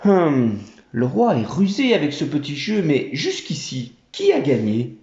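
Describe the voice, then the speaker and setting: A man voicing a calm, probing traveller. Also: on the high side, measured pace, full character voice. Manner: probing, dry questions. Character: calm, inquisitive male traveller and questioner. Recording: roomy, dull home recording.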